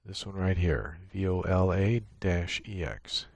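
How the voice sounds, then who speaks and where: Low-voiced man giving a technical walkthrough. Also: measured pace, creaky, darkish tone, no room sound. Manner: matter-of-fact, technical explaining. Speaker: software tutorial presenter. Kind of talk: unscripted screen-share walkthrough. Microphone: USB microphone with gate and compressor.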